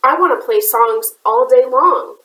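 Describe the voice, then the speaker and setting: A woman voicing a small, wistful magic radio in a storybook reading, in a small soft voice. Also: high voice, a little slow. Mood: hopeful. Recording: roomy echoey room.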